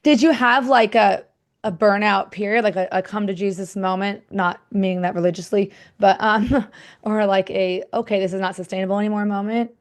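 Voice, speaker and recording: A woman hosts a relaxed, conversational interview at a medium pitch. She talks informally, thinking aloud as she builds toward her questions. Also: moderate pace. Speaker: podcast host interviewing a guest.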